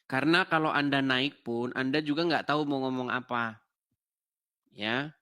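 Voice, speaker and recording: A man's voice: medium pitch, moderate pace, bright, forceful tone, clear, resonant tone. A man hosting a live call-in debate, laughing and mocking as he talks over his callers. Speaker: host of a live interfaith debate stream.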